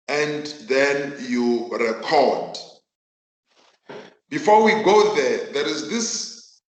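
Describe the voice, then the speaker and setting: Slow, bright-voiced South African male teacher. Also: on the high side. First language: Northern Sotho. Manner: emphatic, deliberate, repeats phrases for effect. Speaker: accounting teacher. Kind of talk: virtual class lecture. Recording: echoey room.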